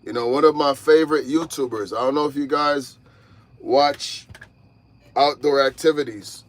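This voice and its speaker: A man's voice: mid-range voice, fairly even intonation, normal speed. A man in his thirties, originally from Jamaica, chatting casually with viewers from inside his parked truck cab. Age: 30s. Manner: laid-back, talks straight to viewers, calls them y'all. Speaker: truck driver vlogger. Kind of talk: casual livestream chat.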